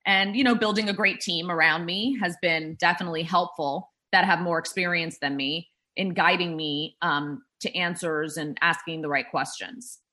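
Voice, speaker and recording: A woman's voice: fairly low pitch, moderate pace, hardly any pauses, bright, forceful tone. A woman who founded a drink startup, talking in a casual interview, rambling with many fillers and restarts.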